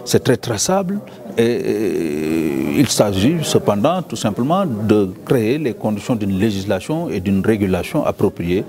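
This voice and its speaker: A man speaking as a conference panelist, deliberate and repetitive, with his pitch leaping when he stresses points. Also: big pitch swings. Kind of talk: interview statement. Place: conference venue. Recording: crowd murmur behind.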